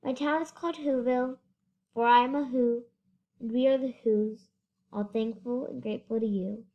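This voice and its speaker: A woman's voice: fairly high pitch, steady tone, normal speed, highs cut off, close to an everyday voice. A female reader voicing a tiny, polite and grateful storybook mayor with a piping, courteous manner. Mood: proud, friendly.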